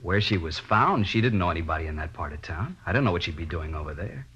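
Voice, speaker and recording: A man playing a bewildered, protective middle-aged father in a radio drama, plain-spoken and defensive, with a husky voice. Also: low-pitched, animated, fast talker, a bit bright, heavily acted. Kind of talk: 1950s radio drama acting. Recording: muffled old-time radio recording.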